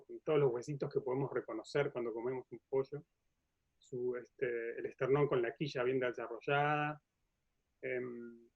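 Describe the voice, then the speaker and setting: Male lecturer, Uruguayan accent, mid-range voice, informal explanatory delivery, slightly dull recording. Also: medium pitch. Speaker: museum curator and professor giving a talk. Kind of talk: informal science lecture with slides. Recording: dull, lo-fi audio.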